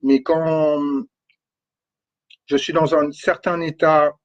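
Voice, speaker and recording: A man's voice: on the high side, level tone, slow pace. A man coaching on energy and spirituality, thinking aloud with lots of repetition and checking "okay?", talking with a runny nose. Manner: repeats words, thinks aloud, stresses points with "d'accord?". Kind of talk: spoken talk on spirituality. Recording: dull lo-fi recording.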